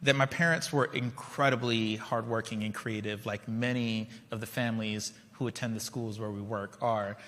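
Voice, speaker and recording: A man's voice: on the low side, steady tone, normal speed, hardly any pauses, a bit bright, big-room sound. A man in his forties who grew up in Liberia, giving a conversational keynote speech and often checking in with his audience. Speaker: keynote speaker. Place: large conference hall.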